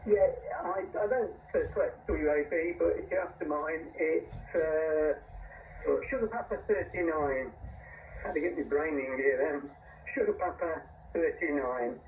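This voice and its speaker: A man chatting unscripted as an amateur radio operator, halting and hesitant, heard over shortwave radio. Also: a little high, narrow, tinny audio. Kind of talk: unscripted two-way radio chat. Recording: thin band-limited radio signal, hissy, distant.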